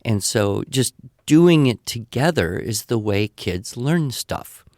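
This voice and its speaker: A man's voice: medium pitch, expressive, takes it slowly, darkish tone, no echo, clean studio sound. An older man answering questions in a conversational, didactic way, thinking aloud and explaining with examples. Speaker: podcast guest expert answering listener questions.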